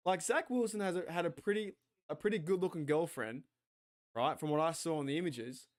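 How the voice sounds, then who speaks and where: Australian man, slightly high-pitched, rambling, sweary, casual stream chat. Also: brisk. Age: 40s. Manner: excitable, rambling, swears freely, talks to chat. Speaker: watch party host.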